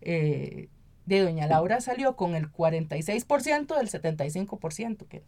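A woman's voice: on the low side, lively intonation, moderate pace, mellow. A woman with a Costa Rican Spanish accent speaking as an activist guest in an interview, explaining things and often checking in with a short tag question. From Costa Rica. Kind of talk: conversational interview.

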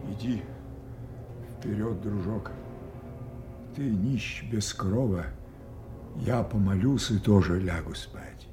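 An actor playing an old, deposed king losing his reason, declaiming with halting, weighty anguish in a theatrical radio drama. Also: lowish voice, music in the background. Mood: brooding sorrow. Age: older.

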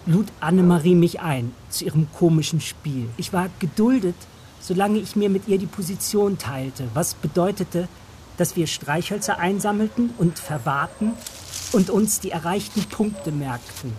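An actor playing a grown narrator who recalls a village childhood in measured, reflective radio drama storytelling. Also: mid-range voice, dark tone, slight background noise, natural voice.

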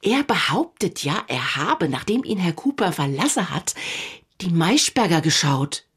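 A shrewd police typist in a crime radio drama. Her voice sits a little low and moves with very lively intonation, wry, unflappable and matter-of-fact. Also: a little slow, breathy edge, heavily acted. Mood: eager, matter-of-fact.

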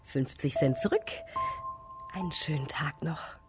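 A woman acting in a radio play as a weary, reluctant cashier, speaking softly and breathily with resignation. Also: sits low, unhurried, loud music behind the voice. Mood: resigned. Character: weary, reluctant female department-store cashier. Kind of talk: German radio play dialogue.

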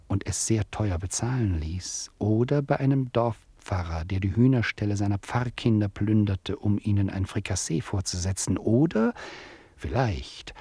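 An actor playing a teasing, mock-impatient storyteller in a radio play, addressing the listener in a wry, theatrical and digressive way. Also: male voice, on the low side, mellow, breathy edge, no echo.

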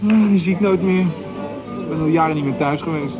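Man playing high-pitched streetwise pub regular. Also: brisk, dull audio, music in the background, close to an everyday voice. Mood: bitter recollection. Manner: chatty, bantering, colloquial. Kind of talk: naturalistic radio drama dialogue.

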